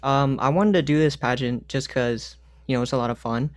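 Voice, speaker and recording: Relaxed young man, dry sound. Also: mid-range voice, brisk, no room sound. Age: 20s. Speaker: pageant contestant. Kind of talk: self-introduction.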